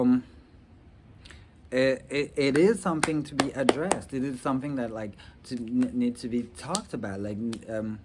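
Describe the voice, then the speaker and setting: A young man from Rwanda talking earnestly about his future plans in a personal vlog, with halting restarts and many fillers. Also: medium pitch, slight background noise. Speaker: vlogger. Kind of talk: personal reflective talk to camera.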